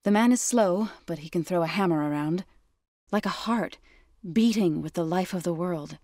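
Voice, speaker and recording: Low-pitched, earnest warrior woman, played by an actress. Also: normal speed, darkish tone, dry recording, clean studio sound, close to an everyday voice. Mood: earnest, steady. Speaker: video game character. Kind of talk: scripted video game dialogue.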